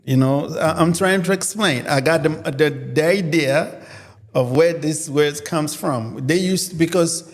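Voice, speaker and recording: A man's voice: fairly high pitch, unhurried. A man who is not a native English speaker, a guest on an interview podcast, hesitant and repeating words as he searches for them. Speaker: guest, photographer and musician. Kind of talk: casual interview chat. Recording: reverberant room.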